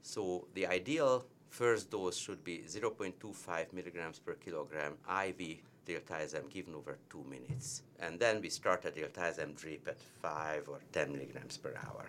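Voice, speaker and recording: A man's voice: low-pitched, moderate pace, hardly any pauses, fairly bright tone, slight background noise. A man with a heavy Hungarian accent, a cardiologist explaining treatment with emphatic repetition of key points. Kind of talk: medical teaching discussion.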